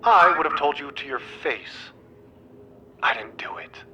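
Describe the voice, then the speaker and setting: An actor playing a dramatic, needy, exasperated geeky best friend in a game, with a theatrical, emphatic, rambling delivery. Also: male voice, on the high side, lively intonation, unhurried, muffled recording, a crowd in the background. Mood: exasperated, defensive.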